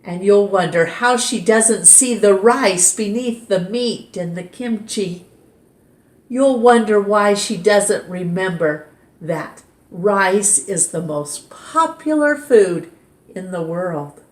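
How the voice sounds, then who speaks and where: Slow, gentle woman reading a storybook. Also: mid-range voice. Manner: slow, gentle, expressive read-aloud. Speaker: storytime reader. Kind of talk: reading a picture book aloud. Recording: roomy echo.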